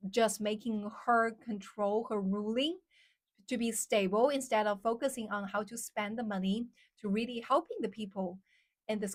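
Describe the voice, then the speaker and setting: A young woman from China with a Chinese accent, chatting casually on a livestream and answering viewers' questions, with lots of "like" fillers. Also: mid-range voice. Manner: chatty, many "like" fillers, reads out comments. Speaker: live streamer.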